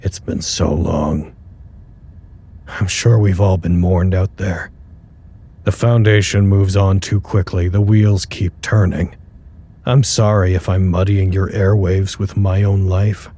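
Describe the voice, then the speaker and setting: An actor in an audio drama monologue playing a grieving, weary facility staffer, subdued, halting and confessional. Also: male voice, deep voice, steady tone, warm tone, breathy edge, exaggerated voice. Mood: weary, resigned. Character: grieving, weary male facility staffer broadcasting a personal log.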